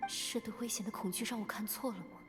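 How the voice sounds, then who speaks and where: A woman voicing a solemn, fatalistic older sister and seer in an anime-style game, with grave, measured delivery. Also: fairly high pitch, fairly even intonation, music in the background, close to an everyday voice. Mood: somber, doubtful. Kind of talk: scripted anime game voice acting.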